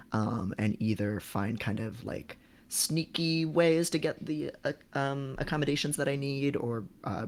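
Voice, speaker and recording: A man hosting a casual livestream, giving frank advice in a conversational, somewhat hesitant way. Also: fairly low pitch. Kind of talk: casual livestream chat, advising.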